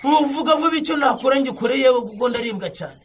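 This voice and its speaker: A really high-voiced whiny husband, moaning about his sore eye, fast talker, radio drama. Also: lively intonation, recorded from a distance, slight background noise. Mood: pained, demanding. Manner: wailing, complaining, demanding. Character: whining husband in pain with an injured eye.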